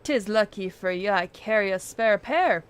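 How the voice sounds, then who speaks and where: A woman's voice: medium pitch, animated, normal speed, smooth, lightly acted. A woman voicing a superstitious old sailor man in dramatic, piratical dialect, reading out game dialogue. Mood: grim, wry.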